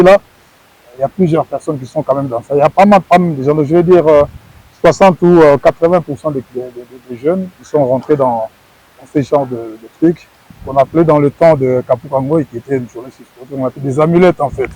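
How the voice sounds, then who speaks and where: A man's voice: fairly high pitch, dark tone, slightly rough, low-quality recording. A middle-aged man from Congo, a former wrestler, answering interview questions earnestly and often repeating words as he searches for phrasing.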